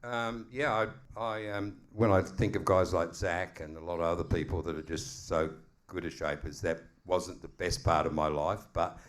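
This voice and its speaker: A man in his seventies from South Australia with an Australian accent, a guest shaper telling stories in a slow, modest way with many pauses. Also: sits low, steady tone, slight background noise. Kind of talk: casual storytelling on a live podcast.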